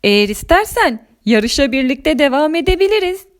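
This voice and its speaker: Woman doing a plucky kid racer for a storybook, high voice, eager n excitable, sounds confident. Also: normal speed. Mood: eager, concerned. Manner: exclamatory, eager.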